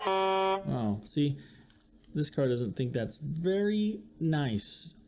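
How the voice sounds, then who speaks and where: A teacher reading a picture book aloud to young listeners, expressively and with chatty asides. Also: medium pitch. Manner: slow, expressive, with acted-out sound effects. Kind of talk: children's story read-aloud with asides to listeners.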